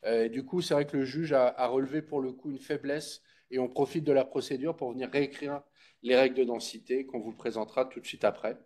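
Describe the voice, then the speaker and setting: Guy explaining stuff at a public meeting, kinda dark mellow voice, informal but teachery. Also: mid-range voice, fairly even intonation, a little fast. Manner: informal, didactic, checks understanding with listeners. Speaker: presenter at a public consultation meeting. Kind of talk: explanatory public presentation with question-taking.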